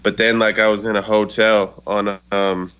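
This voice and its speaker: A young man from Canada calls in as an interview guest. His low voice barely moves in pitch. It sounds bright and smooth, and he talks in a relaxed, easygoing way. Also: normal speed. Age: 20s. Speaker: guest rapper. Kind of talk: phone-in interview.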